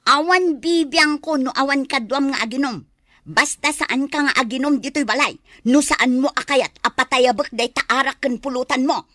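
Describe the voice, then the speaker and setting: Woman acting a high-voiced nagging Ilocano wife. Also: normal speed, fairly bright tone, dry recording, studio quality. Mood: angry. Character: nagging, fed-up wife of a drunkard. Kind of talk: Ilocano radio-style drama acting.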